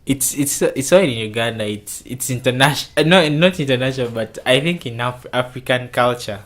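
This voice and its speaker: A man's voice: medium pitch, a little fast, fairly bright tone. Hesitant Kenyan-born man. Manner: hesitant, repeats words. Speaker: interviewee. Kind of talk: casual interview answers.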